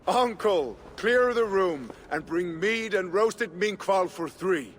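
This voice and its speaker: A man's voice: high-pitched, expressive, slow, a little hiss or hum. An actor playing a Viking king in a video game, hearty yet grave about duty, speaking in a stately, declarative way. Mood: concerned, commanding. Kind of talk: dramatic video game acting.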